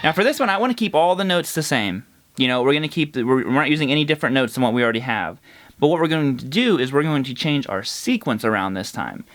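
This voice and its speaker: A man's voice: medium pitch, big pitch swings, fast, fairly bright tone, slight background noise. A man teaching a casual guitar lesson, congested and nasal, counting fret numbers as he plays. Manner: explains while playing, counts fret numbers.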